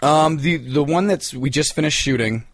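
A man's voice: fairly high pitch, moderate pace, no echo. A man in his twenties chatting casually as an interview guest, telling anecdotes in a hesitant, wry, self-effacing way. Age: 20s.

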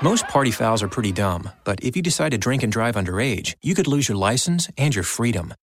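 A man's voice: medium pitch, sing-song, fairly quick, slight background noise. A man reading a public service announcement as a voice-over.

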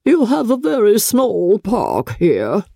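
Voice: mid-range voice, huge pitch swings, takes it slowly, creaky, mellow, no room sound, clean studio sound, pitched above the performer's own voice, heavily acted. A reader voicing a haughty, imperious elderly aristocratic lady, speaking stiffly and commandingly in an audiobook. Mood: condescending. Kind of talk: audiobook character reading.

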